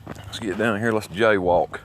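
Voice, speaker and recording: A man's voice: on the low side, fairly even intonation, measured pace. Folksy Alabama man rambling outdoors, noisy background. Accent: Alabama Southern American. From Alabama, United States. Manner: folksy, boastful, coarse. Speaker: amateur vlogger. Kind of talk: rambling walk-along commentary. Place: outdoors, walking along small-town streets. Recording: noisy outdoor recording with traffic sounds. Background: outdoor ambience, passing vehicles.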